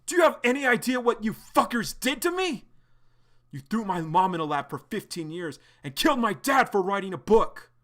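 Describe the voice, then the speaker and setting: A man's voice: medium pitch, fairly even intonation, normal speed, lightly acted. A man voicing a vengeful, furious young man who swears bitterly.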